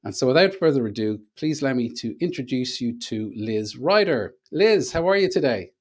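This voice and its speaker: A man hosting a podcast interview, speaking in a halting way with many filler sounds. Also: mid-range voice, expressive. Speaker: podcast host.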